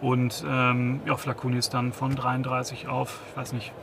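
Gravelly, flat-voiced man in his thirties. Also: mid-range voice, moderate pace, noisy background. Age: 30s. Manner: hesitant, many restarts and fillers. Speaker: company founder. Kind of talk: business podcast interview.

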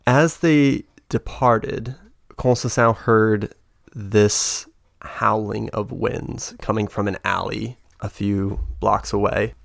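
Guy gm narrating slow w lots of uhs, bit gravelly, dry close mic. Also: on the low side, fairly even intonation, no echo. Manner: slow, hesitant narration with fillers. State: recovering from flu. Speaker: game master. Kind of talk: narrating a tabletop game.

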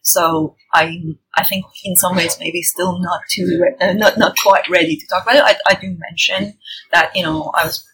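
A woman's voice: on the low side, fairly even intonation, moderate pace, fairly bright tone, husky. A woman from Iran in her thirties, a guest in an interview, speaking reflectively with many restarts and fillers in a restaurant. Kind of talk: interview conversation. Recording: noisy restaurant recording. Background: faint restaurant ambience.

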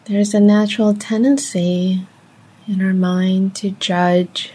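A woman guides a meditation at a very unhurried pace. Her voice is soft, mellow and a little breathy. She speaks gently, in short measured phrases separated by long pauses. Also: mid-range voice, flat delivery, poor audio.

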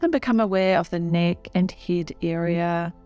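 A woman leading a guided meditation in a soothing, unhurried way with long pauses. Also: fairly low pitch, darkish tone, smooth, faint music behind.

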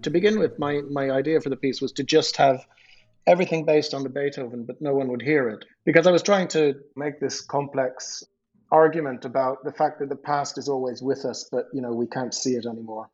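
Male composer, husky mid-range voice, reflective interview delivery, slightly lo-fi sound with faint music behind. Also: medium pitch, fairly even intonation, a little fast, a little mellow. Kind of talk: reflective interview talk about own work. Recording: muffled, dull audio, faint music behind.

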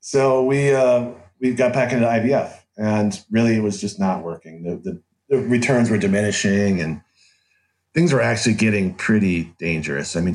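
Middle aged american guy, lowish voice, telling his story casually, kinda wry and candid. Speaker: podcast guest, author. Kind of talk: conversational personal storytelling.

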